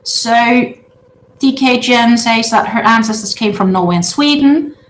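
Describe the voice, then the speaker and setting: A woman in her thirties from Denmark with a Danish accent, a native Danish speaker, hosting a casual livestream from her big living room and chatting with viewers in a halting way with lots of filler sounds. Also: fairly high pitch, a little hiss or hum. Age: 30s. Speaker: livestream host. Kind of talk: casual livestream chat, reading out viewer comments. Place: large open-plan living room at home. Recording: roomy echo.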